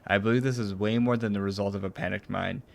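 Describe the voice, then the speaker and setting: A man with a fairly low voice plays a game on stream. He reads formal story dialogue aloud, stumbling now and then, and comments in a casual way. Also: fairly even intonation, fast, gravelly.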